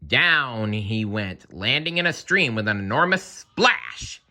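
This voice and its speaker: Animated man reading a children's story. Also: medium pitch, slow pace. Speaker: story reader. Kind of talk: expressive children's read-aloud narration.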